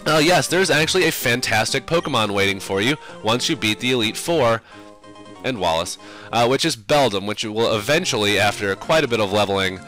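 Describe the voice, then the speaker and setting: A man's voice: lowish voice, expressive, fairly quick, fairly bright tone, soft background music, low-quality recording. A young man with a cold, sounding sinusy, casually rambling through let's play commentary with lots of fillers. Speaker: game commentator.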